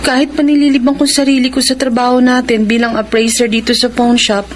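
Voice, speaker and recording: An actress with a Filipino accent playing a shy, lovelorn young pawnshop appraiser in a radio drama. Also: female voice, a little high, level tone, a little fast, a little hiss or hum, natural voice. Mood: wistful.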